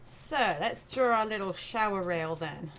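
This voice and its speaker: A woman's voice: medium pitch, unhurried, a bit bright. English-American accented woman, noisy background. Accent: English blended with American. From England. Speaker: art livestream host. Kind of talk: casual live craft tutorial, thinking aloud. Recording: noticeable background noise.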